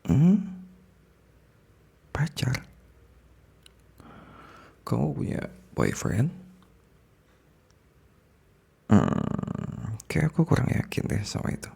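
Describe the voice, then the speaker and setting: A man playing a possessive, smitten male boss in an intimate ASMR roleplay, speaking haltingly with an Indonesian accent in a breathy, gravelly voice that slips into whispers. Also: mid-range voice, wildly swooping pitch, warm tone, exaggerated voice. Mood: probing, flirtatious. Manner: halting, sighing, repeats words, slips into whispers.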